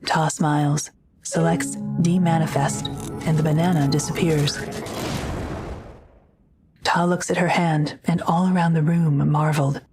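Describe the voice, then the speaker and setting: A woman's voice: low voice, flat delivery, a little slow, long pauses, over music. A woman reading scripted third-person narration as the narrator of an audio drama.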